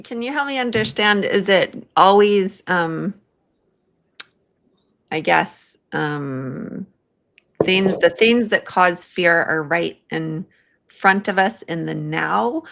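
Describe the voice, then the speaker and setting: A woman's voice: fairly low pitch, gravelly, a bit bright. A woman hosting a casual interview, tentatively searching for words and rephrasing her questions as she asks them. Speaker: podcast host, interviewer.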